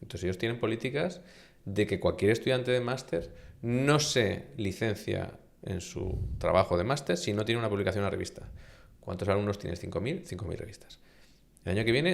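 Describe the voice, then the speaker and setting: A middle-aged man from Spain with a Castilian accent, a university vice-rector speaking as an interview guest, emphatic and animated, often posing rhetorical questions. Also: on the low side, vocal fry. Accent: Castilian Spanish. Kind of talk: conversational interview.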